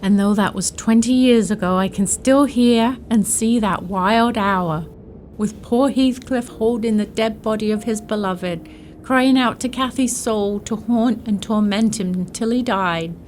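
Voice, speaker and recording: A woman's voice: medium pitch, unhurried, a little mellow, slight background noise, close to an everyday voice. A woman playing a household servant in an audio drama, earnest and emotive, telling of a tragic past. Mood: wistful, haunted.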